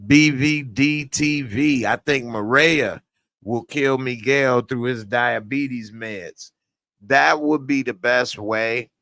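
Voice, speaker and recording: Brief: male show reviewer, mid-pitched, slangy and blunt, dry close sound. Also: a bit bright, dry recording. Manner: blunt, slangy, rants with heated outbursts. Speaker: TV series reviewer. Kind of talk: casual spoken episode review.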